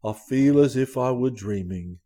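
A man's voice: mid-range voice, unhurried, mellow, voice pushed down, natural voice. A man voicing a breezy, love-struck young upper-class fellow, dreamy and chummy, in a storybook reading. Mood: blissful.

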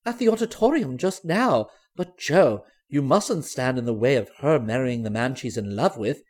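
A man's voice: mid-range voice, animated, normal speed, darkish tone, voice pushed down, close to an everyday voice. A man voicing a proud middle-aged mother and former stage performer, earnest and confiding, in a storybook reading. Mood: pleading, proud.

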